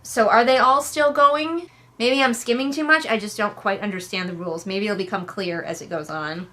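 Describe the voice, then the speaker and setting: A woman's voice: mid-range voice, normal speed, a bit bright. A woman giving casual, sarcastic commentary as she hosts a book readalong, in a wry, exasperated, conversational way.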